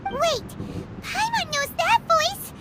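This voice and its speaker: A woman voicing a tiny, excitable, childlike fairy companion in a video game, squeaky and exclamatory, talking of herself in the third person. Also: takes it slowly, heavy background noise, full character voice. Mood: amazed. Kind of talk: video game character acting.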